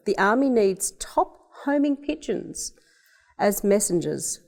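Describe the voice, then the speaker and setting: A woman's voice: mid-range voice, sing-song, takes it slowly, gravelly, a little mellow, heavily acted. An Australian woman voicing a firm, practical wartime father in a children's storybook, speaking matter-of-factly.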